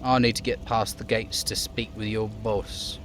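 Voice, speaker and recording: A man's voice: fairly low pitch, little pitch movement, a little slow, a bit bright, a little hiss or hum. A man voicing a police detective in a video game, asking questions in a hesitant, matter-of-fact way. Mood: evasive. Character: male police detective, inquisitive and awkwardly evasive.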